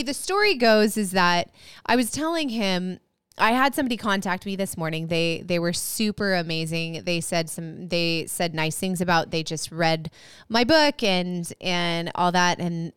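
Casual podcast co-host, woman with a high, very clear voice, dry close sound. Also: normal speed, a bit bright, no echo. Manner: chatty, rambling with restarts. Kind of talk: casual chat.

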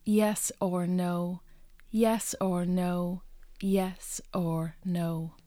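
A low-voiced Irish woman poet. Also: flat delivery, mellow, dry recording, clean studio sound. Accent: Donegal Irish. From North West Donegal, Ireland. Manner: thoughtful, measured, many pauses with um and you know. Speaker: poet, guest. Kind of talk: poetry reading and interview answers.

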